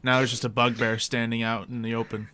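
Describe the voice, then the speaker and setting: A man improvising casual tabletop game narration as game master in a halting, conversational way. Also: mid-range voice, little pitch movement, sharp tone. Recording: clear background noise with insect sounds.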